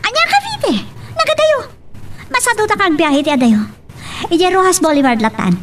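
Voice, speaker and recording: A woman's voice: very high-pitched, very animated, moderate pace. An actress with an Ilocano Filipino accent playing a haughty, moody young woman in a radio love drama. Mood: sulky. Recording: clearly noisy recording.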